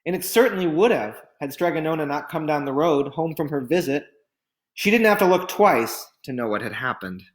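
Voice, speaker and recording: A man reading a picture book aloud in an expressive, dramatic storytelling style. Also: fairly high pitch, normal speed.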